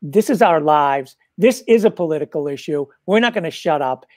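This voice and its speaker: A man's voice: fairly high pitch, animated, normal speed, darkish tone, dry recording. Earnest middle-aged man, dry sound. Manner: earnest, emphatic. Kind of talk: political discussion. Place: home room with bookshelves. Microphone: video call with earbuds.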